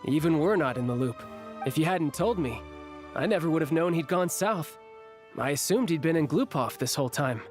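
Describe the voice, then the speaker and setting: A man's voice: on the high side, animated, moderate pace, faint music behind, close to an everyday voice. An actor playing a breezy, friendly young male officer in a video game, easygoing, reassuring and a little teasing. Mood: casual, helpful.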